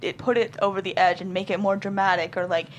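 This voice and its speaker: A woman's voice: medium pitch, steady tone, quick pace, a little hiss or hum. A woman speaking casually as a writer being interviewed. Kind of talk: casual chat.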